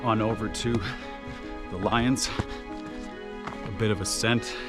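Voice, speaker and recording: A man with a naturally loud voice giving casual commentary outdoors on a mountain trail, relaxed, with many filler uhs. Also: on the low side, takes it slowly. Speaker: trail running vlogger. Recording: music playing behind the voice.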